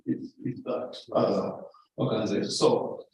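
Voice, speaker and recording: A man making an unscripted contribution to a formal discussion, searching for words and repeating phrases in a halting way. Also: on the low side, steady tone, darkish tone, a little hiss or hum. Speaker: academic meeting participant. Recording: distant mic in very echoey room.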